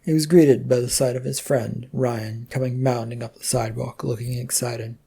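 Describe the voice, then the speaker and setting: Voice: medium pitch, fairly even intonation, normal speed, creaky, dark tone, slight background noise. A narrator reading scripted fiction as a storyteller.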